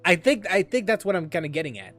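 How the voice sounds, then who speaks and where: A man's voice: high voice, sing-song, quick pace, a bit bright. A man giving casual, sweary commentary as he streams a game, reactive and exclamatory. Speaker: gaming streamer. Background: game music.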